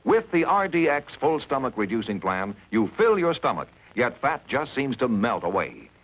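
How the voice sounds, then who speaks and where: A man's voice: mid-range voice, sing-song, moderate pace. A man announcing a vintage radio advertisement in a punchy salesman manner. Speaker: commercial announcer. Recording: dull lo-fi radio recording.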